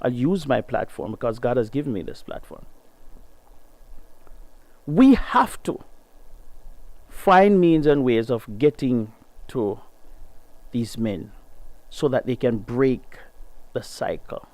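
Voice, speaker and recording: Earnest Jamaican man, lively radio host. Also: takes it slowly, long pauses, dry recording. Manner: earnest, emphatic, rises in pitch to stress points. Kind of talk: reading an article, then preaching commentary on radio.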